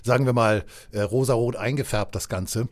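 A man from West Germany in a casual political chat, speaking in a measured, hesitant, long-winded way. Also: mid-range voice. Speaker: discussion partner.

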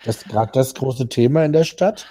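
A man co-hosting a podcast who talks hesitantly and fills his speech with pauses and filler sounds. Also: mid-range voice, mellow, slightly rough. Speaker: podcast co-host. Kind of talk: casual chat.

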